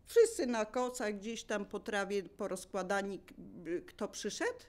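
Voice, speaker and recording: Older female, local resident type, average pitch, relaxed conversational reminiscing. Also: a little slow. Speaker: local resident sharing memories. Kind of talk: casual reminiscing.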